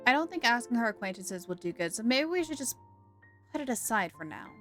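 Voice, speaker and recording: A woman with a fairly high voice gives casual live commentary on a game. She is chatty and playful, inclined to giggle, with music running softly behind her. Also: sing-song, brisk. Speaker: game livestreamer. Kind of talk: casual reactive livestream commentary.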